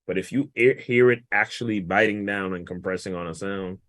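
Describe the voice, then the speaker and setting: A man, a guest mix engineer, casually walking through a vocal mixing session in a relaxed, explanatory, colloquial way. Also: sits low, a little fast, no room sound.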